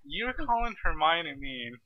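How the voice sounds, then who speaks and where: Very animated, higher-voiced man. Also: moderate pace, highs cut off. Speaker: co-host. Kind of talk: casual chat.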